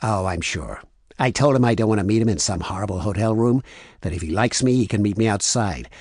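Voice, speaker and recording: A man's voice: on the low side, fairly quick, a little mellow, dry recording, clean studio sound. A man voicing a cocky, casual female con artist's assistant with a strong New York accent. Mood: self-assured.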